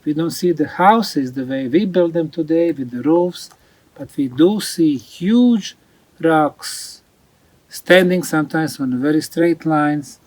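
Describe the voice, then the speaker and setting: Male presenter, slow and deliberate, slightly high voice, unscripted explanation with emphasis on key points. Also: darkish tone, no echo. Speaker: researcher presenting ancient megalithic sites.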